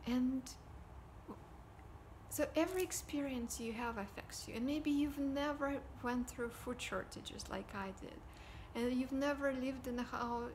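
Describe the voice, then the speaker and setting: Soft-spoken woman, home vlog. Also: a little high, a little hiss or hum, poor audio. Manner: soft, often whispery delivery. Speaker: vlogger. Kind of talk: casual personal talk to camera. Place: living room at home, indoors. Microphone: device camera at desk distance.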